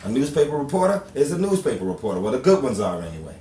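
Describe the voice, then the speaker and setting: A man playing a gruff, blustering, bossy 1930s newspaper editor with hard-boiled slang in an old-time radio drama. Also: mid-range voice, very animated, racing, warm tone, close to an everyday voice. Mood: brusque, firm. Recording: distant roomy recording with faint noise.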